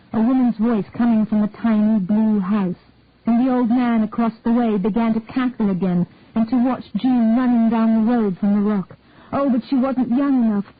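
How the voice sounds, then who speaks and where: A woman's voice: a little high, dry recording. Woman narrating a story over a tinny line. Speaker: story reader. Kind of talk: scripted narration of a detective story. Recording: dry, tinny phone-like audio.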